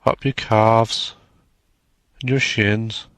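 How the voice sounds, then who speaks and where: A man narrating a guided meditation in a soothing, measured manner, leaving long pauses between short phrases. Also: lowish voice, steady tone, a bit bright, dry recording.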